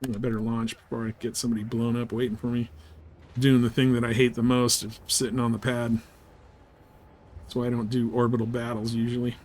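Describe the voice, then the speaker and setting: Casting: male game streamer, mid-pitched voice, laid-back and slangy, loose rambling live commentary. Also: little pitch movement, fairly quick, a little mellow, a little hiss or hum. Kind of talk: casual live gameplay chat and commentary.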